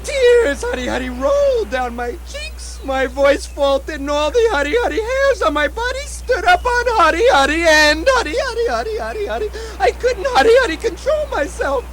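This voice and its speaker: A woman's voice: very high voice, big pitch swings, normal speed, few pauses, a crowd in the background. A woman playing a terrified, superstitious fisherman in a puppet show, stammering and breaking into a repeated chant. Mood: panicked, tearful. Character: terrified, ghost-haunted male fisherman, frantic and superstitious. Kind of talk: theatrical puppet-show acting.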